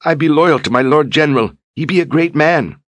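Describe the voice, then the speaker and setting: A man's voice: on the high side, a little fast, a bit bright, no echo, pitched above the performer's own voice, natural voice. A man voicing a simple, loyal young woman who talks cautiously in rustic grammar. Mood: naive.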